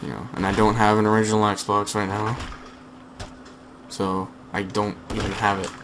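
A young man casually chatting over his gameplay through a headset, slightly hoarse, rambling with fillers and restarts. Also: fairly low pitch, little pitch movement, moderate pace, background noise. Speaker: gaming channel host.

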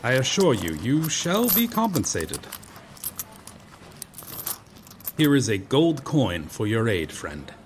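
A man's voice: medium pitch, wildly swooping pitch, a little slow, a little hiss or hum. A man playing a proud, courteous medieval knight out of his time in an audio roleplay, with formal, archaic, theatrical phrasing. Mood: generous. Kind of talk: ASMR roleplay acting.

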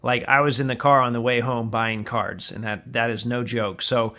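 A man's voice: mid-range voice, steady tone, moderate pace, bright, forceful tone. Casual man talking through an unboxing. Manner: conversational, explanatory. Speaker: podcast host. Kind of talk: casual unboxing commentary on sports cards. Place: indoors at a tabletop, hands-only camera.

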